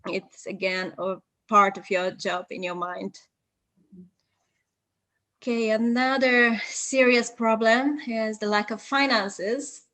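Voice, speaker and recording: A young woman whose first language is Bulgarian, a translator calmly giving methodical language-learning advice in an interview, with frequent ums. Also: fairly high pitch, takes it slowly, dry recording. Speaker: polyglot guest, translator.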